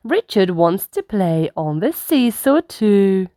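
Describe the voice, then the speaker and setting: Slow, very animated woman storyteller. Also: mid-range voice, no room sound, studio quality. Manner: slow, animated delivery for young children. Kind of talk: children's story read-aloud.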